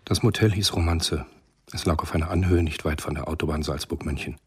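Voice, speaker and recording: A man narrating a literary radio play in a measured, sombre manner. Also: extremely low pitch, fairly even intonation, slightly rough.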